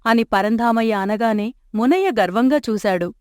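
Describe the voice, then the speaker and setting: A woman's voice: a little high, expressive, moderate pace, no room sound. Woman narrating a storybook. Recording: dry close studio mic.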